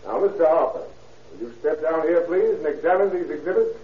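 An actor playing a formal, methodical prosecuting attorney in a declamatory 1930s radio style. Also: male voice, on the high side, lively intonation, fairly quick, slight background noise, lightly acted. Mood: formal, assured. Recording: roomy, distant, tinny old radio recording.

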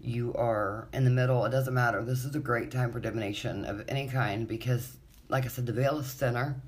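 Woman, podcast host, raspy, wheezy, congested, chatty, rambling, swears. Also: a bass voice, steady tone, fairly quick, a little mellow. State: congested from allergies. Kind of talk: casual solo podcast chat.

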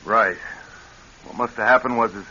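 An actor in an American radio drama playing a bitter, wary ex-convict who is down on his luck, husky and curt. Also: lowish voice, steady tone, normal speed, pushed and bright, full character voice. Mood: bitter, defensive. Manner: curt, gruff, defensive. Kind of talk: classic radio drama acting. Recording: muffled old radio recording.

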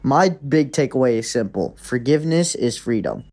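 A man's voice: mid-range voice, lively intonation, normal speed, a little mellow. A man leaving a reflective recorded listener message.

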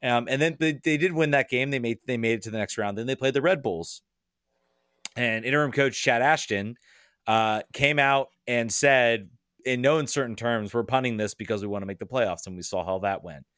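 A man's voice: medium pitch, moderate pace, fairly bright tone, clear, resonant tone, no room sound, clean studio sound. A man talking as a podcast guest in casual chat, hesitant and often repeating words as he thinks aloud.

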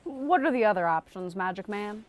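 A woman's voice: medium pitch, animated, normal speed, a little hiss or hum, close to an everyday voice. A woman playing a mocking, mercenary fantasy adventurer with dry sarcasm in a comedic audio drama.

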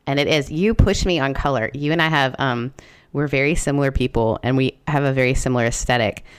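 Low-voiced woman hosting a podcast. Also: brisk, clear, resonant tone. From Southern US, Canada and Britain. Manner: chatty, rambling with many fillers. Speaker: podcast host. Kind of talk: casual conversational interview. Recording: intro voiced over music.

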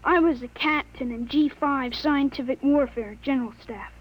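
Woman doing brainy 13 yr old boy, high voice, talks like an adult, matter of fact, old radio play, muffled sound. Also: moderate pace, natural voice. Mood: earnest, assured. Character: precocious thirteen-year-old boy with a grown man's mind. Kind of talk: 1940s-1950s radio drama acting. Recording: dull vintage radio recording.

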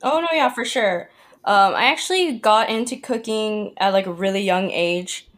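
A woman's voice: mid-range voice, normal speed. A young woman from Hawaii, a cook, chatting as a podcast guest in a friendly, casual way, full of "like" and "uh". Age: young adult. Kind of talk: casual interview chat.

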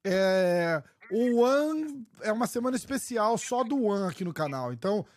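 A man's voice: high-pitched, big pitch swings, measured pace. A man with a Brazilian Portuguese accent, hosting a casual interview in a loose, slangy way, often restarting his words. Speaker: podcast host.

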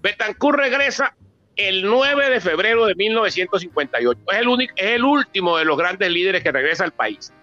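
High, bright-voiced older Venezuelan man, dull recording. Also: lively intonation, a little fast. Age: 70s. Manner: emphatic, repeats phrases for stress. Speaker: author and politician presenting his book. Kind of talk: impromptu public talk on history. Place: theatre book presentation.

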